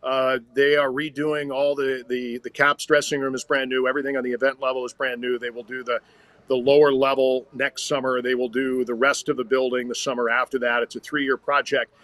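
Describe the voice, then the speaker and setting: A man's voice: medium pitch, flat delivery, brisk, a bit bright, no room sound. An American man, a radio sports announcer, giving conversational answers as a podcast guest.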